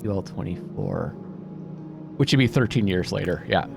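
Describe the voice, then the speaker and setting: A man's voice: sits low, moderate pace, vocal fry, music in the background. A man playing a video game and commenting casually, thinking aloud and reading on-screen text as he goes. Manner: thinking aloud, puzzling things out.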